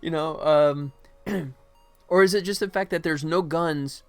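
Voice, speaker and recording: A man's voice: fairly high pitch, measured pace, creaky, clear, resonant tone, soft background music. A man livestreaming as he works, thinking aloud in casual chat, sometimes muttering to himself. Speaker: livestream host, game animator.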